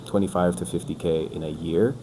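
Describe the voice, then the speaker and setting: A man's voice: deep voice, brisk. A man in his twenties from the UK, a podcast guest talking casually and loosely, full of "like" and "bro". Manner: relaxed, rambling, says "like" and "bro" a lot. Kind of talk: casual chat. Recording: noisy background.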